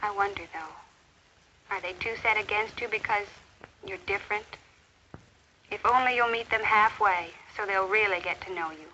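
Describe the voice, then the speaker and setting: A dull, noisy old film soundtrack carries an actress as an earnest woman teacher. She speaks in a measured, formal way at a middle pitch, with caring concern, in 1950s classroom-film style. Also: pushed and bright, lightly acted. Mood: pensive, concerned. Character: earnest, caring female high school teacher. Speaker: actor in an educational film. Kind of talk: 1950s educational film acting with inner-thought narration.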